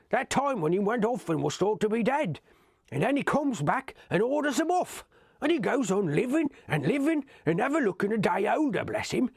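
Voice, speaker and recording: A man's voice: sits high, vocal fry, husky, no room sound, studio quality, pitched above the performer's own voice, exaggerated voice. A man voicing a gossiping old male hobbit gardener who talks in folksy, rustic grammar.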